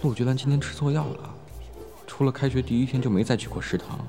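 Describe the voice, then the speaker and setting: An actor playing a brash, teasing male high school student in an audio drama, with a casual, slangy, blunt way of talking. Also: fast talker, dark tone, soft background music, natural voice. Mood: casual muttering. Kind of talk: Chinese audio drama acting.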